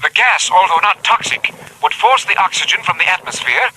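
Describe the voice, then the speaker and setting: A man's voice: sits high, very animated, a little fast, bright, forceful tone, exaggerated voice, rough recording. An actor playing an alarmed, learned male doctor who speaks in a precise yet flustered way, in 1940s radio drama style. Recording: old radio recording with faint hiss.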